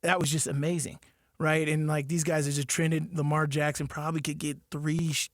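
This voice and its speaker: A man co-hosting a sports talk radio show, talking with excitement and thinking aloud. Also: on the high side, moderate pace, dry recording, clean studio sound. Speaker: fill-in co-host.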